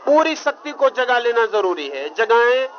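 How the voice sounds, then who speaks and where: A man's voice: very high voice, sing-song, unhurried. A man leading a live active meditation, urging the group on with short, repeated, urgent commands. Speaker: meditation leader. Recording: dull noisy live recording, loud crowd behind. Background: noisy crowd of participants.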